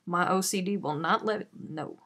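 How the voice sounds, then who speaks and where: A woman's voice: lowish voice, normal speed, fairly bright tone. A woman hosting a live crochet stream, chatting casually and self-deprecatingly as she reads out viewer comments.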